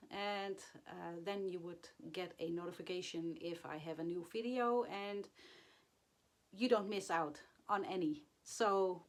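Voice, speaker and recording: Hoarse woman vlogging slowly. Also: medium pitch. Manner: halting, many fillers and pauses. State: hoarse, recovering from flu. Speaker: flosstube vlogger. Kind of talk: casual chat to camera.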